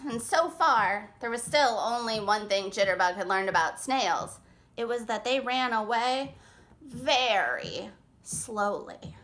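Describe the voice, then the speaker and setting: A high-voiced woman narrates a picture book for children. She reads slowly and expressively, leaving dramatic pauses between phrases. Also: fairly bright tone, slight background noise. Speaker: children's author reading aloud.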